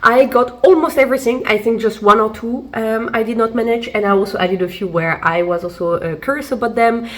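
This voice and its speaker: A woman's voice: on the high side, a little fast, few pauses. Chatty woman vlogger with a fading cold. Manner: chatty, many fillers and restarts. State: recovering from a cold. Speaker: fashion vlogger. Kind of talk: casual try-on haul review. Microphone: camera mic while seated.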